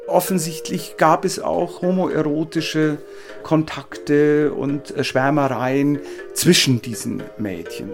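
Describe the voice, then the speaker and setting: A man with a husky, creaky voice, a scholar explaining his subject spontaneously and enthusiastically in an interview. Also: fairly high pitch, steady tone, slow pace, soft background music. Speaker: interviewed classical philologist.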